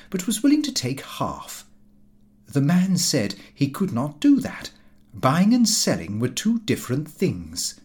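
A man's voice: medium pitch, a little mellow. Expressively lilting man reading a comic diary. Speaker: audiobook reader. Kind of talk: scripted narration of a comic diary novel.

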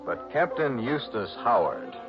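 An actor playing a cool, skeptical private investigator with an American accent in an old radio drama. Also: male voice, mid-range voice, wildly swooping pitch, unhurried, music in the background. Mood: brisk narrating. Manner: dry, skeptical. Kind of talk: old-time radio drama dialogue and narration. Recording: muffled, noisy vintage radio broadcast.

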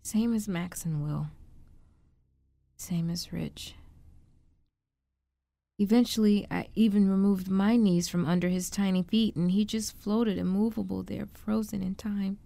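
Woman acting a shaken, compassionate wife, soft mellow voice. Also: mid-range voice, creaky. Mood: hushed disbelief. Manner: halting, stammering, hushed delivery. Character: shaken, compassionate wife trapped in frozen time. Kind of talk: audio drama acting. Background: background music.

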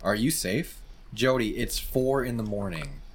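A man voicing a cautious husband talking softly on the phone in a storybook reading. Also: mid-range voice, measured pace, slightly rough. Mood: worried.

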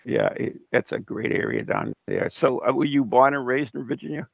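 A male podcast host asks questions in a casual, conversational way, with a gravelly voice and animated pitch. The sound is dull and lo-fi, and the room is dry. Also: moderate pace, no echo. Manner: conversational, affirming with short interjections. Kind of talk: casual interview.

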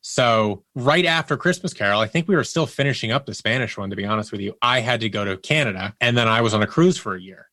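Bright-voiced man telling casual stories. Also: fairly low pitch, lively intonation, fast, hardly any pauses, no echo, clean studio sound. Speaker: interview guest, audio drama producer. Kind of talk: casual conversational storytelling.

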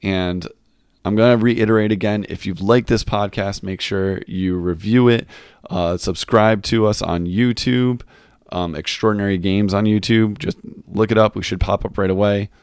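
Low-voiced man hosting a casual podcast. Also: fairly even intonation, moderate pace, a little mellow, clean tone, no room sound, clean studio sound. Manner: rambling, frequent "like" fillers, candid cursing. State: recovering from voice loss. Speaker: podcast host. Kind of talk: casual solo game review podcast.

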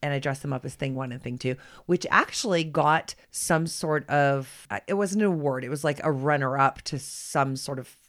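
A woman's voice: sits low, moderate pace, hardly any pauses, fairly bright tone. A woman in her forties co-hosting a podcast, bantering casually with a friend. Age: 40s. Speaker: podcast co-host.

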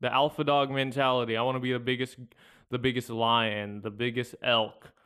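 A man's voice: medium pitch, flat delivery, normal speed, a bit bright, clean tone. A young man co-hosting a podcast, bantering playfully with his co-host. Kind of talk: casual podcast chat.

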